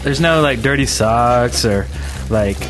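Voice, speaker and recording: A man's voice: mid-range voice, takes it slowly, music in the background. Casual man hosting a gaming podcast. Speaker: podcast host.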